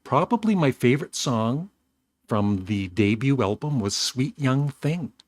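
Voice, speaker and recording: An older man from Canada, hosting his own show, chatting casually and rambling a little, his voice a bit rough today. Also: medium pitch, expressive, a little slow, no room sound. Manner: rambling, self-correcting, warm chuckles. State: slightly rough voice. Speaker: host. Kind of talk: casual vlog talk.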